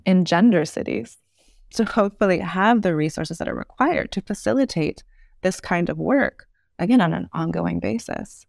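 A woman in her thirties explaining ideas as an interview guest, articulate and measured, with polished professional phrasing. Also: fairly low pitch, vocal fry, darkish tone, dry recording, studio quality. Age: 30s. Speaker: podcast guest.

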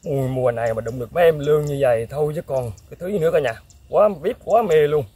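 A young man excitedly commenting to viewers while fishing outdoors, exclaiming often. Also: a little high, fast, husky. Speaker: fishing vlogger. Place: outdoors in fields.

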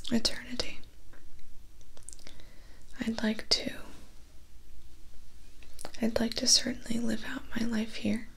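Young woman acting as weary human vampire's assistant, whispery, creaky voice, gentle, hesitant, confiding, ASMR roleplay. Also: medium pitch, full character voice, low-quality recording. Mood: wistful. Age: young adult. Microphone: close ASMR microphone.

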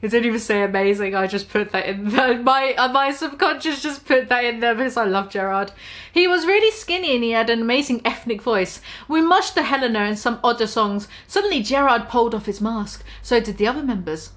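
A woman's voice: fairly high pitch, a bit bright. A woman in her twenties giving a dramatic comic reading, exaggerated and mock-serious, with amused asides. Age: 20s. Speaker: online video host. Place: small room at home.

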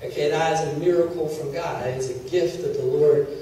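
Middle-aged man, preacher, mellow slightly high voice, conversational, big echoey hall, far-off mic. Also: steady tone, fairly quick, slight background noise. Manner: conversational preaching, checks in with "Are you with me?" and "okay?". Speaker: church teacher. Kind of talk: sermon teaching. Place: large church hall, indoors. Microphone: handheld mic, filmed from a far camera.

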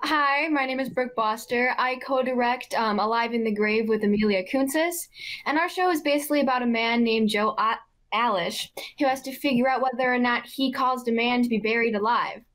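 A woman's voice: a little high, fairly quick, a bit bright. A young woman chatting casually as a guest on a video call. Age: young adult. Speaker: student director and guest. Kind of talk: casual chat. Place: indoor room at home, video call.

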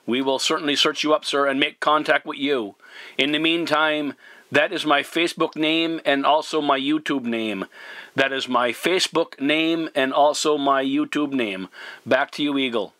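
A man talking as a CB radio operator, courteous and formal, deliberately repeating himself in radio procedure style. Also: on the high side, pushed and bright, no echo. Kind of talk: radio contact talk, spelling in phonetic alphabet.

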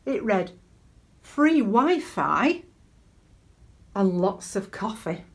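A middle-aged woman gives a conversational sermon as a church deacon, telling personal stories in a measured way and putting questions to her listeners. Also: medium pitch, sing-song. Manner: measured, storytelling delivery with rhetorical questions. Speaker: church deacon leading online worship. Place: small living room at home, indoors.

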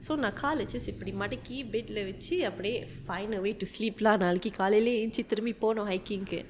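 Young woman guest, rapid animated code-switching chat, faint room noise. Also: medium pitch. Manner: fast, animated, mixing Tamil and English, many fillers. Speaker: tour guide guest. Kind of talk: casual podcast conversation. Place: small indoor room at a table. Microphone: desk podcast mic.

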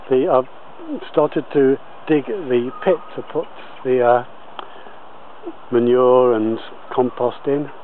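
Male amateur gardener, unhurried and hesitant tour narration, outdoor handheld camera sound, slightly dull. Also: medium pitch. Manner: halting, pausing often mid-sentence. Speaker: allotment gardener filming a tour. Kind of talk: informal video tour commentary. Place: outdoors on an allotment. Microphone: handheld camera held by the speaker. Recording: muffled lo-fi camera audio, outdoor noise.

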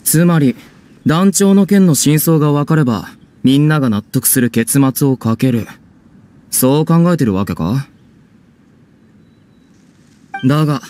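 Mellow, stubborn young stage performer. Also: male voice, on the high side, slight background noise. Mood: guarded. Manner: gruff, blunt, informal speech. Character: guarded, stubborn young male theatre troupe performer. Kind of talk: anime game voice acting.

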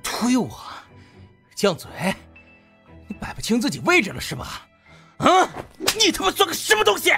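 A man's voice: very high-pitched, wildly swooping pitch, normal speed, vocal fry, long pauses, bright, forceful tone, slightly rough, soft background music, pitched above the performer's own voice, exaggerated voice. A man voicing a violent, arrogant young gangster in an audiobook, curt and contemptuous. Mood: enraged. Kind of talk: audiobook character acting.

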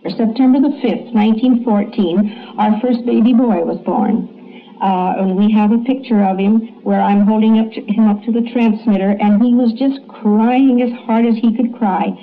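An older woman reminiscing in a halting, plain-spoken way, as an early pioneer. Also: a little high, steady tone. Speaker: early radio pioneer recalling memories. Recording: tinny, faintly noisy archive recording.

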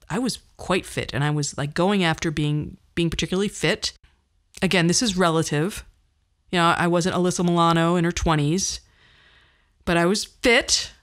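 A woman in her fifties with a fairly low voice hosts a podcast monologue. She talks conversationally about her own life, with a wry, self-deprecating touch. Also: a bit bright. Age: 50s. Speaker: podcast host. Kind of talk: personal storytelling monologue.

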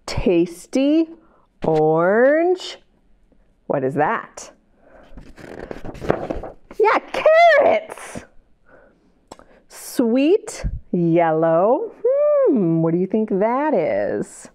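A middle-aged American woman hosting a library storytime, reading aloud to young children in an expressive, sing-song way and drawing them in with questions. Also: a little high, extremely slow, pauses often. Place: indoor library children's area. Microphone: lapel mic.

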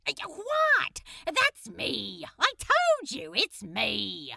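A woman's voice: sits high, wildly swooping pitch, very slow, gravelly, sharp tone, heavily acted. A woman voicing a desperate, flustered captured male superhero in a storybook reading, stammering and blurting with sarcastic edges. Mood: defensive. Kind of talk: acted fan fiction audiobook reading.

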